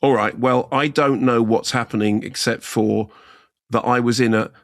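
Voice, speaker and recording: A man's voice: mid-range voice, fairly even intonation, moderate pace, dry recording, studio quality. A man in his sixties co-hosting a casual podcast chat, telling rambling stories and often correcting himself mid-sentence. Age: 60s. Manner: rambling, self-correcting storyteller. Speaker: co-host.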